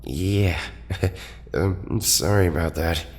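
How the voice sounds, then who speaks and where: A man playing an earnest, reserved young team leader in an audio drama, halting and understated. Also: sits low. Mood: sheepish. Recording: long hall reverb.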